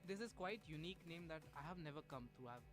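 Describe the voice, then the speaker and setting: A young man co-hosts a student radio interview. He asks his questions in a loose, rambling way full of fillers. His voice is fairly high, with faint music underneath. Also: animated, fast. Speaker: radio co-host.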